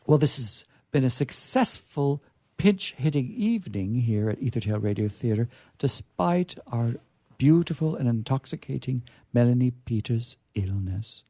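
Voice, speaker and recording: Theatrical male radio host, very lively pitch. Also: measured pace, no echo. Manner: florid, playful, theatrical. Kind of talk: live show sign-off, theatrical hosting.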